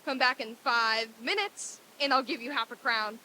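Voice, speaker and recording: A woman's voice: fairly high pitch, moderate pace, background noise, lightly acted. A woman playing a reformed, overjoyed old miser in a theatrical old-time radio drama reading, with exclamatory delivery. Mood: giddy delight.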